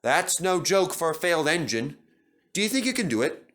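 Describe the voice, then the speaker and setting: A man's voice: fairly high pitch, big pitch swings, normal speed, a bit bright, pitched above the performer's own voice. A man playing a practical engine driver with a considered, encouraging manner, in a storybook reading. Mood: thoughtful.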